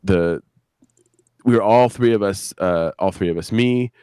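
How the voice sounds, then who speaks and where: Man, middle-aged, very low voice, dry close sound. Halting, sweary, casual storyteller on a live stream. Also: mellow, clean tone, no room sound. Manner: rambling, halting, crude swearing. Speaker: podcast creator, interview guest. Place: video live stream with a banner behind.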